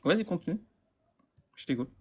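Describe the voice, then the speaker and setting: A man's voice: on the high side, expressive, a little fast, husky. Stammering, insistent man caller. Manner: stammering, repeats words, insistent. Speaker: caller in a debate. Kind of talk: heated live debate.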